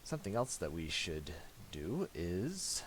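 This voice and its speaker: Male tutorial presenter, low and unhurried, halting and unscripted, casual delivery. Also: huge pitch swings. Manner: halting, thinking aloud. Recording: faint hiss and mouse clicks.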